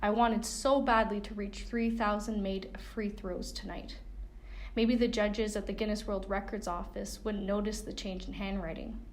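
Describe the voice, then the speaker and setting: A woman's voice: mid-range voice, fairly even intonation, normal speed, slight background noise. A woman reading a first-person coming-of-age novel excerpt as narrator. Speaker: reader of a book excerpt.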